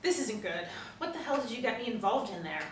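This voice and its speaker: A woman's voice: on the high side, sing-song, brisk. An actress at a table read playing an impatient, blunt woman drawn into a mystery. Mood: suspicious. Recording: echoey room, distant mic, faint noise.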